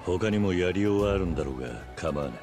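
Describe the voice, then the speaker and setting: An actor playing a stern, tough former yakuza boss who talks gruff and blunt. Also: male voice, sits low, expressive, fast, over music. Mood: resolute. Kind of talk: video game cutscene acting.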